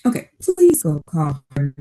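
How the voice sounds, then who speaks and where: A woman's voice: low voice, huge pitch swings, measured pace, warm tone, full character voice. A woman in a table read playing a composed, careful, concerned doctor in a drama. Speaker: actor in a script table read. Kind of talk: dramatic script reading.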